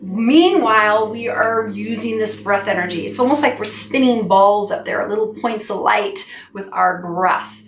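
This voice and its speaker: A woman's voice: mid-range voice, a little slow, few pauses, recorded from a distance, a little hiss or hum. A middle-aged woman and vocal coach, giving a friendly, encouraging singing lesson to camera in a small room at home. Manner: warm encouraging teacher, gestures with hands while explaining. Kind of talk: instructional video lesson. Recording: roomy sound, a little off-mic.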